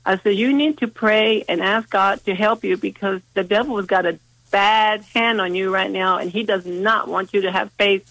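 A congested woman speaks over a dry, close phone line, her voice gravelly with fry. She tells her story in a chatty, folksy way and circles back over her own words. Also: medium pitch, moderate pace, a bit bright, no room sound. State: congested from a sinus infection. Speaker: caller.

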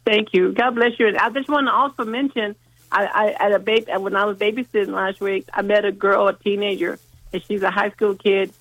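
A woman's voice: mid-range voice, fairly even intonation, brisk, a bit bright, no echo. A woman calling in by phone, congested from a sinus infection, telling a folksy story. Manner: chatty storyteller, folksy, repeats herself. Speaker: caller. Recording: dry phone line.

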